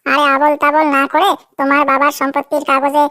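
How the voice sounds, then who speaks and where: A woman's voice: sits high, level tone, fairly quick, pushed and bright, no room sound, clean studio sound. A woman voicing a coy, demanding young girlfriend in a Bengali comedy cartoon, whiny and pleading, often repeating herself.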